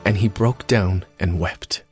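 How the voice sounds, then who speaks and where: A man's voice: sits low, a little slow, faint music behind. A man reading scripture as a scripted narrator.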